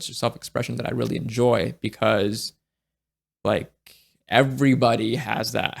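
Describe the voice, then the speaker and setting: A young man speaks in a relaxed, conversational way. His voice is gravelly and full of vocal fry at a middling pitch, and he often trips over his words as he thinks aloud. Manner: halting, with many restarts and fillers; reflective, searching for words. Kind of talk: casual conversation.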